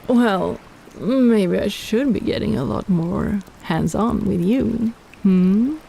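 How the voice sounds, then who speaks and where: A woman voicing a possessive, flirtatious female kraken in a romantic roleplay audio, teasing in a sultry, playful, unhurried way with sighs. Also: medium pitch, creaky, dark tone, slight background noise, exaggerated voice. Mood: flirty teasing.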